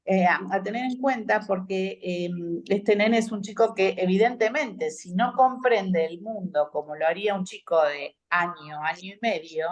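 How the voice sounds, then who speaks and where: A woman's voice: medium pitch, moderate pace, few pauses. A woman with an Argentine accent, a hospital clinician commenting in a conference discussion, hesitant with many fillers. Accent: Argentine Spanish.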